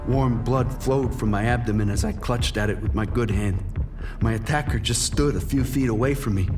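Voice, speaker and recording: An actor playing a wounded, proud and desperate drug dealer in a dramatic first-person noir crime audio drama. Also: male voice, medium pitch, recorded from a distance, natural voice. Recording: studio voice under prominent music.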